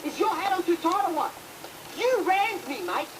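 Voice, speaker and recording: A brash bloke. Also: male voice, extremely high pitch, very animated, moderate pace, far from the mic, background noise. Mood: indignant. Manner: cheeky, mocking, argumentative. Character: brash unemployed man in a car row. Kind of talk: film comedy dialogue.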